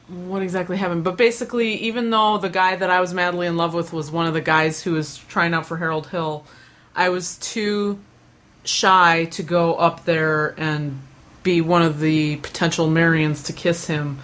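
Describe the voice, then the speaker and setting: A woman's voice: lowish voice, steady tone, a little slow, fairly bright tone. A young woman rambling through casual stories, with lots of fillers, restarts and self-deprecating asides. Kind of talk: casual chat.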